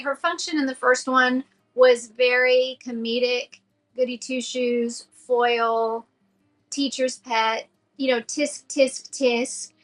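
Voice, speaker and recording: A woman's voice: high voice, pushed and bright. A woman voice actor chatting about her work in an interview, explaining with easy, chatty detail. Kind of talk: interview recollection.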